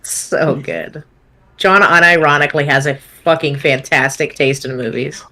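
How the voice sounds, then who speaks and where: A woman's voice: low-pitched, fairly even intonation, a little slow, sharp tone. A woman chatting casually with friends. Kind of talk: casual chat.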